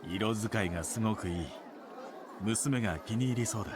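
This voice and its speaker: A man voicing a courteous, gentle, softly appreciative gentleman in a visual novel. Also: fairly low pitch, very fast, a crowd in the background, natural voice. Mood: polite, admiring. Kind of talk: Japanese visual novel voice acting. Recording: game audio under noisy stream ambience.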